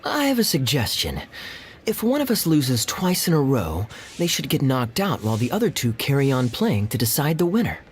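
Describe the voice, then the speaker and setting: A man's voice: medium pitch, wildly swooping pitch, moderate pace, slight background noise. An actor playing a confident, challenging young man in a video game, breezy and assertive, with an assured, articulate manner. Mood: cool, assured. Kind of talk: acted video game dialogue.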